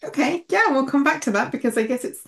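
A woman's voice: high-pitched, animated, quick pace, creaky, a little hiss or hum. A woman host, a native English speaker, leading a conversational chat and repeating words as she thinks. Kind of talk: casual chat.

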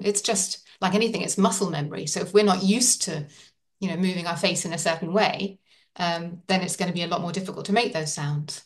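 A woman's voice: medium pitch, distant mic. A woman in casual conversation, talking thoughtfully with frequent fillers and restarts.

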